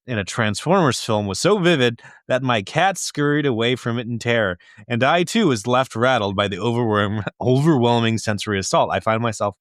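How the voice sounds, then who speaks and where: A man with a mid-pitched voice co-hosts a comedy podcast. He talks casually and jokingly, swearing freely, on a close microphone with very little room sound. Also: lively intonation, a little fast, hardly any pauses, no echo. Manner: profane, joking. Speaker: podcast co-host. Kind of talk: casual comedic banter, reading listener letters.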